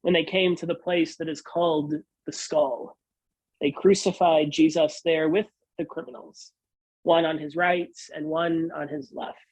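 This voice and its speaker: A man reading scripture as a pastor, in a solemn, measured and deliberate manner. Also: a little high, little pitch movement, poor audio.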